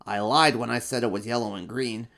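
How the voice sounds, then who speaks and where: Brief: man playing a vain monster emperor, slow and showy, gravelly edge, storybook. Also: mid-range voice, very animated, heavily acted. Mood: sheepish. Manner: boastful, showy, then sheepish. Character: vain, attention-hungry male monster emperor.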